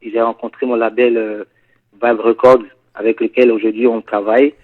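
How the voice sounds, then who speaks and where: A singer from Ivory Coast with an Ivorian French accent, chatting in a radio studio interview and often answering "yes, sir". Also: male voice, mid-range voice, moderate pace, phone-quality sound. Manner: relaxed, peppers talk with "yes, sir". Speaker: guest singer.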